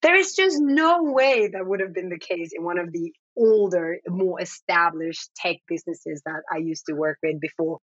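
A woman who grew up in the Netherlands and is a native Dutch speaker, giving thoughtful, measured answers as an interview guest. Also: fairly low pitch, no room sound, dull audio.

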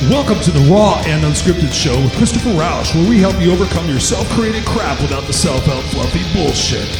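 A man's voice: fairly high pitch, animated, brisk. A man announcing a show intro with a punchy, dramatic delivery. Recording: roomy reverb with loud guitar music.

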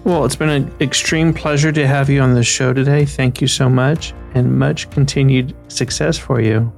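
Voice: mid-range voice, steady tone, moderate pace, gravelly, a little mellow, soft background music. Podcast host, easy laugh. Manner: laughs easily, short backchannels. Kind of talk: casual interview.

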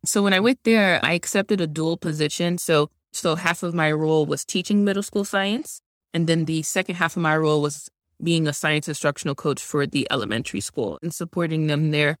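A woman's voice: low voice, a little fast, dry recording. Young woman, native Haitian Creole speaker, earnest explainer, dry close sound. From Georgia, US. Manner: earnest, explanatory, uses "like" often. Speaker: guest, science instructional coach. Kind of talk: conversational interview answers.

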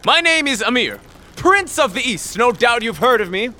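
A man's voice: extremely high pitch, big pitch swings, moderate pace, sharp tone, exaggerated voice. A man playing a proud, suspicious young prince in a fiction podcast, pompous, curt and commanding, speaking formally. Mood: boastful.

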